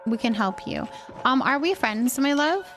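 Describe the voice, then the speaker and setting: High-voiced woman chatting on a game stream. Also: very animated, moderate pace, a bit bright, faint music behind. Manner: chatty, thinking aloud. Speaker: livestream gaming host. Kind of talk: casual chat while playing a building game.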